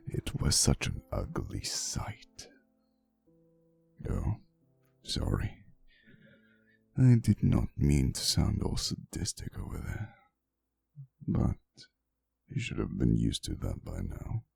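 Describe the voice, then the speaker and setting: A man playing a centuries-old romantic vampire boyfriend in an intimate ASMR roleplay monologue, speaking softly and hesitantly, teasing and wistful with faint menace. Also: a bass voice, wildly swooping pitch, creaky, slightly rough, full character voice. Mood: darkly amused. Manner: soft, slow, hesitant storytelling with teasing asides. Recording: soft music behind the voice. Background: quiet instrumental music.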